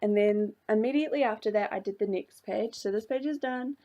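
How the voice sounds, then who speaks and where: A young woman talks through her craft journal in a loose, rambling way, full of fillers and small laughs. Her voice is slightly hoarse from a coming cold, with a gravelly edge and a soft, dark tone. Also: mid-range voice, a little fast, highs cut off. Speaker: craft vlogger. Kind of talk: casual chat showing a journal.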